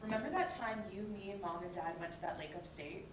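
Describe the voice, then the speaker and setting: A woman's voice: mid-range voice, animated, fast, close to an everyday voice. A woman playing a breezy, affectionate older sister in a play reading, chatty and natural. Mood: playful, nostalgic. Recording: roomy, distant mic, noisy background.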